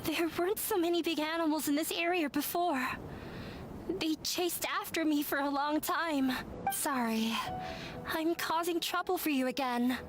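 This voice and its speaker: A woman voicing a troubled young runaway in a video game, resigned and quick to blame herself. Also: high-pitched, lightly acted. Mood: apologetic, resigned. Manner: resigned, self-blaming. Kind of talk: English video game voice acting. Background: game music.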